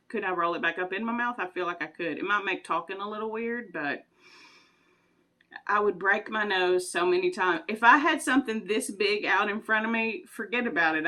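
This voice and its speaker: A woman's voice: on the low side, sharp tone. A woman, chatting casually and rambling through would-you-rather questions with frequent giggles. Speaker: vlogger.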